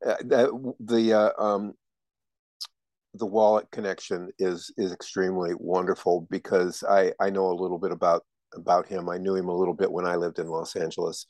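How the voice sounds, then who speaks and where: Effusive male podcast host, low and dry. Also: level tone, moderate pace, darkish tone, dry recording. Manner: effusive, repeats words while he talks. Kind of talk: casual podcast chat.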